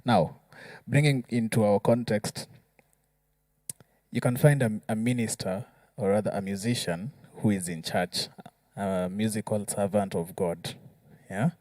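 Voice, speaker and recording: A man's voice: lowish voice, unhurried, pauses often, mellow, dry recording. A Kenyan man giving explanatory advice in a panel discussion, working through examples and often checking that listeners follow. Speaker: musician panelist.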